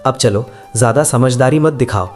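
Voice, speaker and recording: A man's voice: mid-range voice, moderate pace, soft background music, lightly acted. A man voicing a poor, worried, devoted village husband and son in a cartoon moral story, earnest and careworn. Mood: tender.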